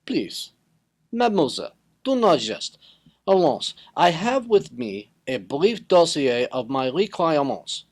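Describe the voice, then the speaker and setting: An actor playing a fussy, formal and self-important Belgian detective with a French-speaking accent, in an old radio drama style. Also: male voice, a little high, sing-song, measured pace. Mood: courteous, insistent. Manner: formal, precise, courteous, pompous. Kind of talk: 1940s radio drama acting.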